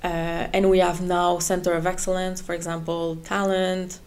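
A woman's voice: fairly low pitch, little pitch movement, moderate pace, a little mellow. A middle-aged woman from French Canada with a French Canadian accent, speaking English as a guest with many fillers and repeated words. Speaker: head of HR, guest. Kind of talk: interview chat.

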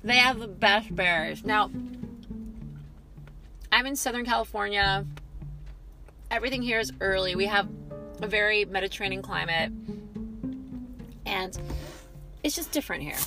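A cookbook author, a woman, chats about food in a loose, rambling way, full of ums and side remarks. Her voice sits at an ordinary pitch and carries a gravelly fry. Music plays clearly behind her. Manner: enthusiastic, rambling, frequent ums and asides. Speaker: cookbook author and home cook. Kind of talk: casual chatty food vlog.

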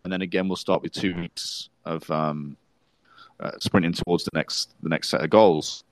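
Low-voiced man co-hosting a podcast. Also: fairly even intonation, normal speed, no echo. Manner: explains plans at length, many fillers and restarts. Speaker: podcast co-host. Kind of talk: casual podcast chat.

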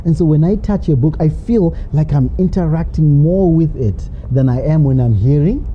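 A woman's voice: low voice, normal speed. A woman guest in an interview, explaining her views at length and posing rhetorical questions. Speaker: literacy advocate guest. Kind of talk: interview answers. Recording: dull lo-fi with faint noise.

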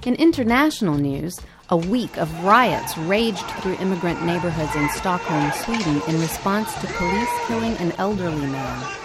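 A woman co-hosting a podcast, reading scripted news narration in a measured, clear delivery. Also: lowish voice, expressive, over loud music, a loud crowd. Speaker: podcast co-host.

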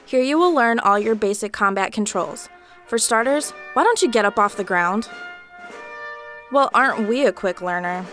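A woman's voice: mid-range voice, moderate pace, a bit bright, faint music behind, lightly acted. A woman playing a bossy, scornful boot camp drill instructor in a comedic video game parody, mocking and barking orders. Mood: scornful, commanding.

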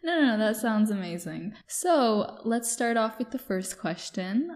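Young woman podcast host, mellow voice. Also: fairly high pitch, very animated, a little slow. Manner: chatty, lots of "like" fillers. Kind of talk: casual chat.

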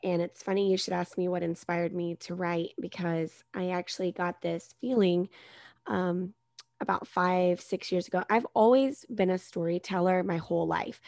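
A woman in her thirties with a soft-spoken voice, a guest author chatting casually in an interview. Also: lowish voice, fairly even intonation, normal speed, creaky, no room sound, clean studio sound.

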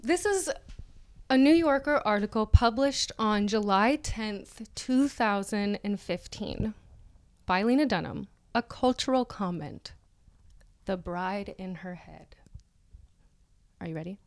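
A young woman co-hosts a casual podcast, gossiping about celebrities with frequent giggles. She talks slowly and drawls her words. Her voice is smooth and clear. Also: medium pitch, expressive. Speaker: podcast co-host.

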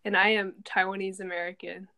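A woman of twenty, a podcast co-host, casually explaining the episode with relaxed fillers. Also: mid-range voice, brisk. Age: 20s.

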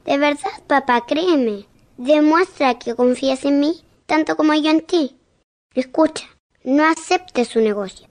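A woman playing a perceptive, gently insistent blind young daughter in a radio drama. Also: high voice, a little slow. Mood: pleading.

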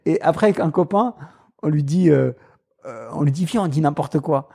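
A man's voice: fairly high pitch, normal speed, creaky, slightly rough. A man with a Paris suburban accent, telling stories in a casual chat and mimicking other people's voices. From Paris suburbs, France. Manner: animated, mimics voices in anecdotes, laughs often. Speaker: guest. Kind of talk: casual storytelling.

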